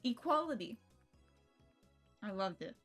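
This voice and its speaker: Higher-voiced young woman streaming a game. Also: measured pace. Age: young adult. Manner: rambling, animated, swearing, joking. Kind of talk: casual live stream chat mixed with reading game text aloud. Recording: music playing behind the voice. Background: visual novel game music.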